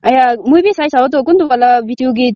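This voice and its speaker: A woman's voice: sits high, rapid-fire, dark tone, no room sound, highs cut off. A woman in a casual phone argument, protesting defensively and sounding exasperated. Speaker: prank call recipient.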